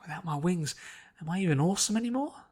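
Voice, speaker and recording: A man's voice: sits high, animated, fast, darkish tone, slightly rough, voice pushed up. Man acting brash young female athlete, moody, casual, grumbling, cartoon fantasy heroine. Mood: glum. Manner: casual, grumbling, self-doubting. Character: brash, sporty young female pony-turned-human, moody.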